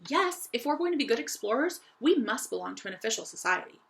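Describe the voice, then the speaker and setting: A woman's voice: a little high, very animated, quick pace, vocal fry, fairly bright tone, exaggerated voice. A woman voicing a bold, curious young girl doll in a children's story, with an eager, wide-eyed manner. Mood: decisive, excited. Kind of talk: children's audiobook character voice.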